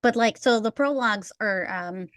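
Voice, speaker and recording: A woman's voice: fairly high pitch, moderate pace, a bit bright, no echo. A woman hosting a podcast, chatting casually and explaining things at length. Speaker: podcast host.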